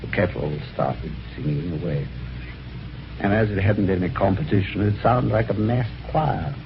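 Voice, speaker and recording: An actor playing a genial, chatty British husband with wry gentlemanly phrasing, in vintage radio drama on an old recording. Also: male voice, low voice, moderate pace, gravelly, highs cut off, background noise. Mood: wry storytelling. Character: genial, chatty, helpful husband telling his adventure. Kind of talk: 1950s radio drama acting and first-person narration.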